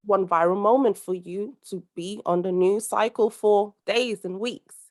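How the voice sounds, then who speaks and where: A woman's voice: medium pitch, a little slow. A young adult woman from the UK, a guest speaking earnestly over a call, rambling with many fillers and restarts. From United Kingdom. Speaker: podcast guest, social commentator. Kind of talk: conversational interview over a call.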